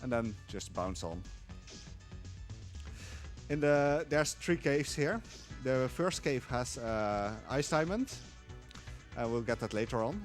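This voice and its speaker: A man's voice: mid-range voice, expressive, takes it slowly, long pauses, soft background music. A man with a Dutch accent giving casual live commentary on his speedrun, explaining as he plays.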